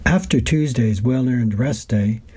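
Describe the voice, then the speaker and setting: Husky, mellow man reading a script. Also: medium pitch, measured pace. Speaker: wine and travel writer reading his reports. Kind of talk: scripted narration.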